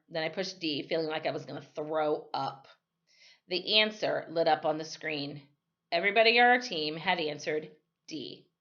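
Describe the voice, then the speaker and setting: A woman's voice: lowish voice, bright, forceful tone. Woman reading a storybook aloud, slightly muffled. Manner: reads in the first person with dramatic emphasis, correcting slips. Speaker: teacher reading aloud to students. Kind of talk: expressive storybook narration.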